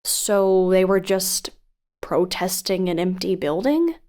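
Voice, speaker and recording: A woman's voice: fairly low pitch, expressive, slow, a little mellow. An actress playing a protective, insistent female investigative podcaster in an audio drama, questioning firmly and earnestly. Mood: probing, suspicious. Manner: probing, firm, earnest. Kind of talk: audio drama phone dialogue.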